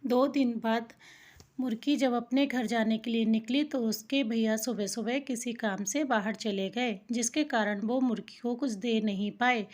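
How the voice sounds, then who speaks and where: Slow, high-voiced woman storyteller. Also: a little mellow. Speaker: narrator. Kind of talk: scripted children's folk tale narration.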